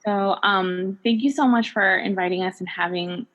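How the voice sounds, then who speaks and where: A woman from California joins a podcast as a guest and talks in a gushing, eager way. Her speech is full of fillers and restarts, warm and casual throughout, at a middle pitch. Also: steady tone, moderate pace. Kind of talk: casual chat.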